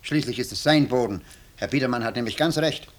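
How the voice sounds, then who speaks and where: Actor as unflappable, mock-polite sly intruder. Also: male voice, mid-range voice, a little fast, close to an everyday voice. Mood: mock apologetic. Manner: calm, mock-polite, reproachful. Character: unflappable, falsely deferential sly male intruder. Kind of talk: 1950s German radio drama acting.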